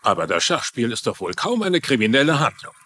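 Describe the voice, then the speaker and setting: A man's voice: medium pitch, big pitch swings, brisk, bright, forceful tone. A man playing a defensive ship passenger under questioning in a dubbed crime drama.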